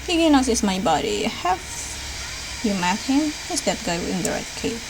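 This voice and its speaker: A woman's voice: a little high, sing-song, normal speed. A woman voicing a friendly, idealistic visual novel witch with a breezy, chummy manner. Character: friendly, idealistic cat-loving witch Barukuro. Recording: clear background noise.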